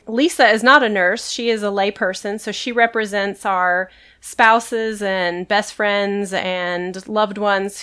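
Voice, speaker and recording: A woman who is an emergency nurse hosting a podcast, talking casually while congested from a cold, relaxed and laughing often. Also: mid-range voice. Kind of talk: casual interview chat.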